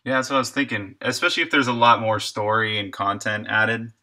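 Guy streaming game dev, kinda low voice but really crisp and bright, mumbling his thoughts as he codes. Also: fairly even intonation, brisk. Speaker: game developer livestreaming. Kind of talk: casual think-aloud coding commentary.

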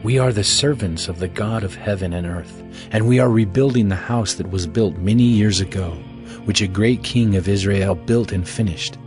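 An actor playing a dignified, devout male elder, solemn and measured, in a dramatized scripture reading. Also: lowish voice, natural voice. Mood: solemn, earnest. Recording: music bed behind the voice.

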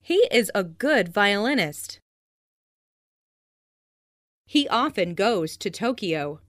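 Slow, lively woman reading phrases. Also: medium pitch, no echo, studio quality. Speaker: language lesson narrator. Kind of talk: scripted reading of English practice phrases.

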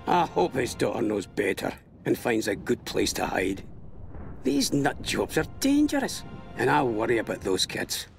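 A gruff old veteran mutters through a game journal over music. His voice is very gravelly and fairly low, grimly bitter yet protective. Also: sing-song, normal speed. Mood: grim worry. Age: older. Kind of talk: dramatic game voice acting, journal monologue.

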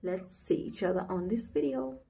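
A woman's voice: lowish voice, moderate pace, creaky, over music. A woman in her twenties with a Kenyan accent, chatting casually as a vlogger and switching between Swahili and English. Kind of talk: casual vlog chat mixing Swahili and English. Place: small living room.

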